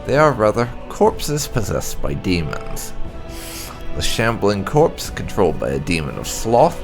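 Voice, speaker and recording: A man reading game lore aloud as scripted narration, in a measured, dramatic storytelling way. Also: low voice. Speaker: gaming video host. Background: background music.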